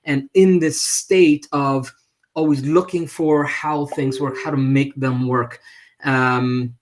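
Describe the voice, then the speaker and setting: Halting man presenting on a livestream. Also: medium pitch, steady tone, noisy background. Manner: halting, with repeated words and frequent tag questions. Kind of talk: unscripted livestream talk.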